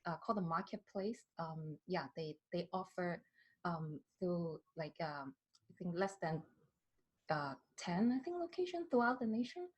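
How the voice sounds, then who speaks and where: Hesitant woman from southern China, husky. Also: fairly low pitch, animated, normal speed, rough recording. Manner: hesitant, many fillers and restarts. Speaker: skincare brand founder, guest. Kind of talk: casual interview.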